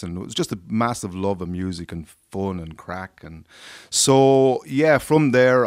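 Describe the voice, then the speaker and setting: A very deep-voiced Irish man talks casually in a radio studio, stopping and restarting often with lots of fillers. The sound is close and dry, with no room around it. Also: no room sound. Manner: halting, repeats words, many fillers. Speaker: singer guest.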